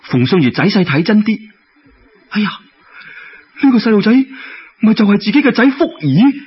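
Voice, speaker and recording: Male Cantonese storyteller: animated, colloquial delivery, slightly rough voice, lo-fi radio-like sound. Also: high voice, normal speed. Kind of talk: dramatic Cantonese-style folk tale storytelling.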